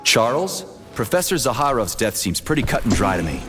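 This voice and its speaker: A man plays a brash soldier hero in a game cutscene, blunt and quick to swear. His voice swings widely in pitch, and loud music plays behind him. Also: big pitch swings, lightly acted. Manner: blunt and profane. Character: brash, foul-mouthed male soldier hero. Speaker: agent, video game protagonist.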